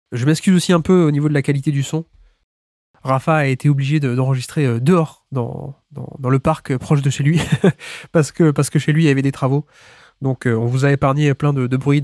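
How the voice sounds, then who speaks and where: A man's voice: mid-range voice, brisk, darkish tone, no room sound, studio quality. A man with a cold hosting a basketball podcast, chatting through the introduction with restarts and small laughs. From France. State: has a cold. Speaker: podcast host.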